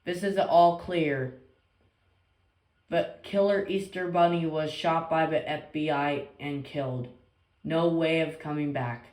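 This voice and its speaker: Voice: mid-range voice, steady tone, slow pace, darkish tone, roomy sound. A synthetic-sounding announcer reading a scripted emergency alert in a formal, stilted manner. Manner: formal, stilted warning delivery.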